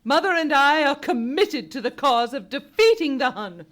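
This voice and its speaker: Actress voicing a haughty, scornful patriotic sister. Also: female voice, high-pitched, sing-song, normal speed, fairly bright tone. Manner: scornful, dismissive. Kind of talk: live stage radio play acting.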